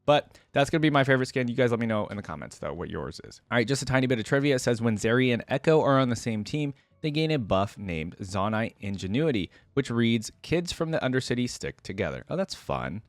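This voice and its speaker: A man's voice: medium pitch, very animated, quick pace, hardly any pauses, dry recording. A man hosting a reaction video, commenting casually and thinking aloud in an informal way as he goes.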